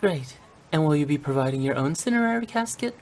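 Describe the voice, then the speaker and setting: A woman playing a composed young funeral director in a video game, speaking in a measured, precise and courteous professional manner. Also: deep voice, expressive, darkish tone. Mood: businesslike. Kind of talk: English video game voice acting.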